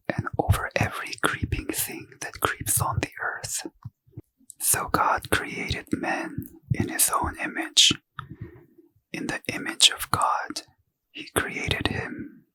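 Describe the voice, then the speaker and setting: A man whispering a bedtime scripture reading in a gentle, unhurried ASMR manner. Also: poor audio. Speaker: ASMR reader.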